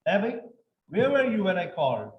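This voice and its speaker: A man in his thirties teaching an online English grammar lesson. He moves between quick explanations and slow, dictated example sentences. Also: high voice, huge pitch swings, lo-fi sound, slight background noise. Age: 30s.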